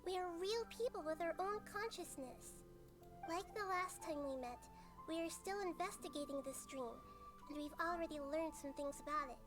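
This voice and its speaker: A woman voicing a gentle, wise childlike goddess in a video game, speaking in a soft, measured, thoughtful way. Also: very high-pitched. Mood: apologetic, earnest. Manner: soft, measured, hesitant before hard truths. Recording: music behind the voice.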